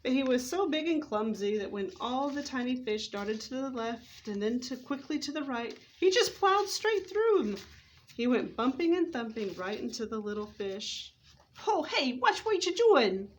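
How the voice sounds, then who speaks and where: A woman with a fairly high voice reads a storybook aloud as narrator. Her delivery is expressive and scripted, like readers theater, with small slips in the reading. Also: normal speed, a little hiss or hum, poor audio.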